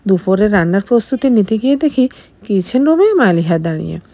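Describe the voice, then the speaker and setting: A woman's voice: medium pitch, big pitch swings, brisk, mellow, no room sound. A woman reading a scripted, emotional first-person story in a dramatic, melodramatic manner. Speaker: story narrator.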